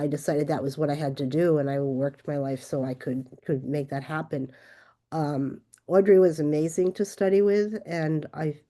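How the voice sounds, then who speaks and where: A hoarse older woman getting over a cold, answering interview questions hesitantly over a video call. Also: low voice, warm tone. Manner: hesitant, with many ums and restarts. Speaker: guest author.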